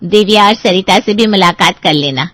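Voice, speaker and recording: A woman's voice: mid-range voice, moderate pace, fairly bright tone, dry recording, lightly acted. A woman playing a teasing, affectionate elder sister in a family audio drama. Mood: fond, playful. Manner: playful, teasing.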